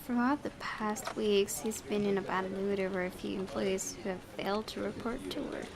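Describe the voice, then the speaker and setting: A woman's voice: medium pitch, moderate pace, gravelly, a crowd in the background. A woman reading visual novel narration aloud, breaking into casual asides as a gaming YouTuber. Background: background music.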